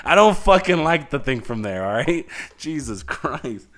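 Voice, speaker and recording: A man's voice: a little high, very animated, unhurried, fairly bright tone. A man chatting casually as a podcast co-host, blunt and sarcastic, swearing a lot. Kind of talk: casual banter.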